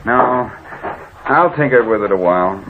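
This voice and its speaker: A man's voice: fairly low pitch, animated, measured pace, noisy background. Curt, bossy man, tinny old radio. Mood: irritated, curt. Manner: curt, commanding, exasperated. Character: irritable, bossy man stranded with his car. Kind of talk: 1940s radio drama acting.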